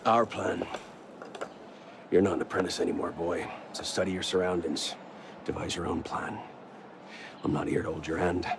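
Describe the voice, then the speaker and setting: Low-voiced stern assassin mentor. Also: male voice, steady tone, moderate pace, vocal fry, long pauses, noisy background. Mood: stern, instructive. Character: stern older male assassin mentor, curt and unsentimental. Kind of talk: video game voice acting. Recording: loud music behind.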